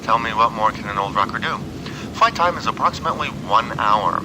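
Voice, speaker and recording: A man's voice: animated, fairly quick, natural voice. A man playing a cheerful, absurd airline announcer with mock-formal patter that switches between languages. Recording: noisy tape with low rumble.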